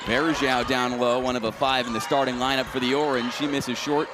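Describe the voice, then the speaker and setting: A man's voice: medium pitch, level tone, fairly quick, background noise. A man calling live sports play-by-play in an announcer's delivery that rises on big plays.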